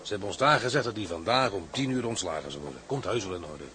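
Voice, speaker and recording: An actor playing a loyal, gruff seaman in a radio drama, plain-spoken and calming. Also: fairly low pitch, quick pace, a little hiss or hum. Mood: firm, calming.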